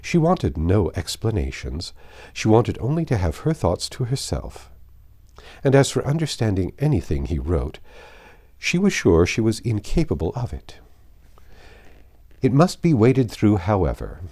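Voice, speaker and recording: A man with a very deep, soft-edged voice reads literary fiction aloud. His delivery is formal and measured, with lively swings of intonation on stressed phrases. It has the style of an expressive audiobook narration. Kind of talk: expressive literary read-aloud of a classic novel.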